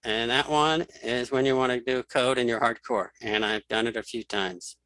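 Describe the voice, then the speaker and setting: A man giving a casual spoken software walkthrough as an instructor, thinking aloud informally as he demonstrates. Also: mid-range voice, steady tone, normal speed, poor audio. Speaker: screen reader tutorial instructor.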